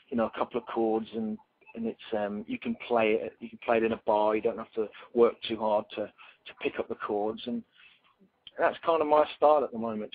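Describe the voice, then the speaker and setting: A man with a raspy, slightly croaky voice, talking about his songs in a relaxed, rambling way. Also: medium pitch. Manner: relaxed, rambling, many fillers. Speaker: singer-songwriter guest. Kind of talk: casual interview answers. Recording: tinny phone line.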